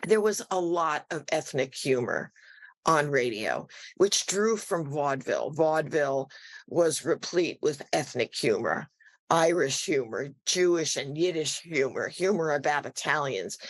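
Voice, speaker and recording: A woman's voice: deep voice, lively intonation, a little slow. A woman explaining history conversationally as an interviewed expert. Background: music.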